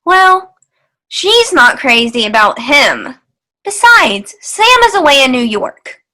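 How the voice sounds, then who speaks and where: A woman's voice: high voice, big pitch swings, takes it slowly, bright, forceful tone, full character voice. A woman playing a no-nonsense small-town mother in old-time radio style, coaxing and bossy. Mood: coaxing, firm.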